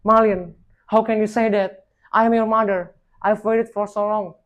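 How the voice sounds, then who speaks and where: A man's voice: sits high, little pitch movement, normal speed, fairly bright tone, clear, resonant tone, pitched above the performer's own voice. A man voicing an aged, loving widowed mother in a folktale, calling out with emphatic emotion. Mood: heartbroken, pleading.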